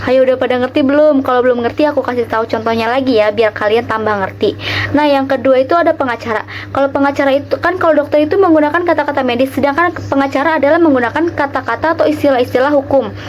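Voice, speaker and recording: Young woman from Java, Javanese accent, high bright voice, friendly lesson explainer, faint background hiss. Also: quick pace, highs cut off. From Java, Indonesia. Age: young adult. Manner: chatty, addresses viewers directly with rhetorical questions. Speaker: student teacher explaining a lesson. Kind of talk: casual educational video.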